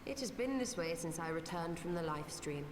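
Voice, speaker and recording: A woman as a composed low-voiced female mage, echoing. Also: animated, recorded from a distance, noisy background, natural voice. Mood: calm resolve. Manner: measured, formal archaic phrasing. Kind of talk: scripted fantasy game acting.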